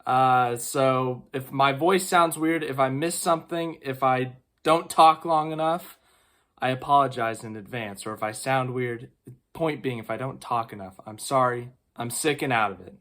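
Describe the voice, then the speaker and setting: A man with strep throat giving a casual, chatty race recap, excitable and full of fillers. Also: medium pitch, moderate pace. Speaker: racing vlogger.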